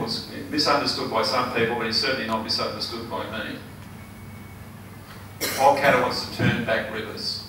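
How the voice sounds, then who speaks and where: An older Australian man with a hoarse, failing voice, giving an earnest campaign speech full of ums and uhs. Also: medium pitch, sharp tone. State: hoarse, losing his voice. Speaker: election candidate. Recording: echoey hall, mic far off, noisy.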